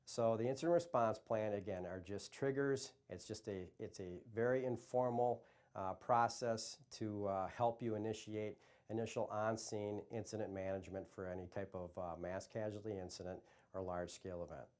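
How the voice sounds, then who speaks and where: An American man giving an instructional presentation as a trainer, explaining in a halting way. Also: on the low side, steady tone, darkish tone. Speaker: EMS trainer.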